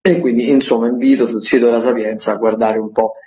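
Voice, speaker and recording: A man giving a semi-improvised radio round-up of events as a critic, with hesitant, drawn-out phrasing. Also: medium pitch, steady tone, dark tone. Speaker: theatre critic reporting a weekly events agenda. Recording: echoey, dull lo-fi radio feed.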